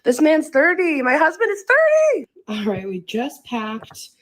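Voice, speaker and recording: A woman narrating a travel vlog in a bubbly, giggly, excited way. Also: sits high, a little slow, low-quality recording. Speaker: vlogger.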